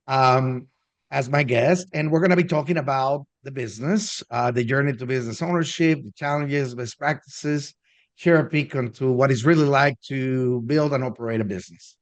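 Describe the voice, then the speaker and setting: A man speaking as the host of a business interview, in a conversational way. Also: medium pitch.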